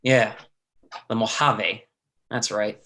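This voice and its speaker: A man's voice: on the low side, steady tone, slow pace, pushed and bright. An actor reading a stage play as a sly, menacing drifter brother, terse and colloquial in his needling. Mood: wry.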